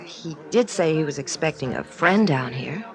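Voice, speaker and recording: A woman's voice: deep voice, animated, normal speed. A woman playing a nervous, stranded young woman in a radio drama, talking in an earnest, pleading, apologetic way. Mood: helpful. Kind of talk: 1950s radio drama acting.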